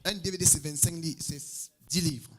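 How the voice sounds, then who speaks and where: A man from Cameroon, a pastor, preaching a sermon in short phrases that leave room for an interpreter, with loud bursts of praise. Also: on the high side, steady tone, normal speed, low-quality recording. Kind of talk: sermon delivered through an interpreter. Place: church hall.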